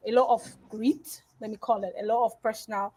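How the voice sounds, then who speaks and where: A woman from Nigeria, a guest in a casual interview, talking with lively swings in loudness and many fillers over a video call. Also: fairly high pitch, fairly quick, low-quality recording. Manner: animated, with big swings in loudness and frequent fillers.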